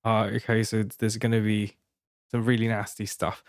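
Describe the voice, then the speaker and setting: A man's voice: on the low side, completely flat delivery, moderate pace, dry recording, clean studio sound. Taiwanese-accented man telling a rambling story. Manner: rambling, many restarts and fillers, laughing while telling. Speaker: podcast co-host. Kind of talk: casual storytelling.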